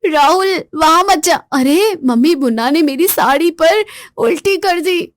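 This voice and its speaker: A woman doing a high, sharp-tongued young wife. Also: lively intonation, unhurried, dry recording, studio quality. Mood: frazzled. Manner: taunting, then shrill and frazzled.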